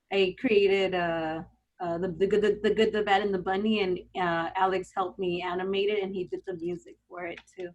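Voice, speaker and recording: A woman from Los Angeles who created an animation, speaking shyly and haltingly over a video call. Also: medium pitch. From Los Angeles, United States. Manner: shy, halting, soft-spoken. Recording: muffled call audio.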